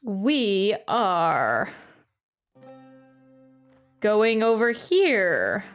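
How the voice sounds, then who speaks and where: A woman's voice: mid-range voice, very animated, extremely slow. A woman giving casual, chatty live commentary while she plays a video game. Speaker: game streamer. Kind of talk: live gameplay reaction commentary; casual chat. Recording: game music behind the voice. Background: video game music.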